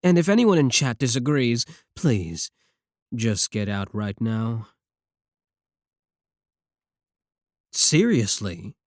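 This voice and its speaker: Man performing a possessive male yandere streamer, slow delivery, animated pitch swings, needy and defensive, intimate ASMR roleplay. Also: dry recording, studio quality. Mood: defensive pleading. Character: clingy, possessive yandere male VTuber pleading with a viewer.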